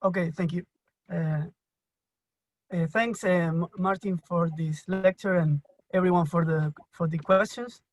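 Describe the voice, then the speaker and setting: A man from Argentina with an Argentine Spanish accent asks a question in a live online Q&A, speaking haltingly with many fillers and restarts. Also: high voice, steady tone, a little hiss or hum, rough recording. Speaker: audience member asking a question. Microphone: video call.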